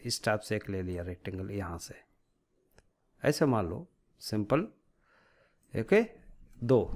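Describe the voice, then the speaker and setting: A man's voice: mid-range voice, a little mellow. A man giving an informal step-by-step tutorial, explaining by asking and answering his own questions and mixing in English technical terms. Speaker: tutorial instructor.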